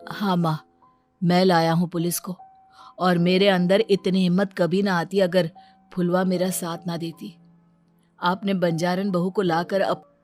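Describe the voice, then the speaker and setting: A woman takes the part of a gentle young stepson standing firm in a cartoon drama. The voice is fairly low, measured and earnest, over faint music. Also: level tone, close to an everyday voice. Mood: resolute. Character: wronged young stepson, gentle but newly resolute. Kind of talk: dramatic cartoon story dialogue.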